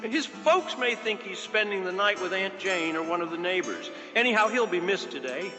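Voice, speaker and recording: A man acts out a cool-headed storybook kidnapper who likes to give orders. He speaks at a fairly high pitch, dry and folksy, with long reverb and music behind. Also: animated, moderate pace. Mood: reassuring. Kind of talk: storybook character voice.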